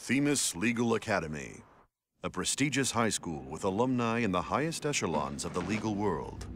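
A man's voice: fairly low pitch, very animated, moderate pace, a little hiss or hum. A man voicing a grave narrator, reading dramatic scripted narration for an anime game.

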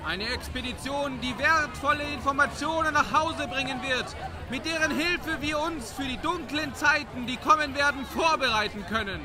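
A man's voice: very high voice, normal speed, distant mic, pitched above the performer's own voice. A man voicing a pompous herald in an audiobook, proclaiming and rousing a crowd with fearful warnings. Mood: solemn, ominous. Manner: declamatory, projecting, oratorical.

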